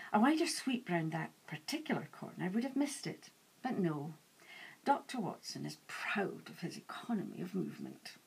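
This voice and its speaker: A woman author reading her own novel aloud in an animated, dramatic first-person storytelling style. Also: lowish voice, creaky, slightly rough, poor audio. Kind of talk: expressive audiobook-style first-person narration.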